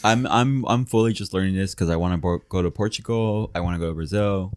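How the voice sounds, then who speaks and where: A young man with a very low voice chats crudely and giggles on a podcast, often stopping and restarting his sentences. The recording is dry and close, with no room sound. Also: fast, clean tone, dry recording. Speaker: podcast co-host. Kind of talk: casual chat.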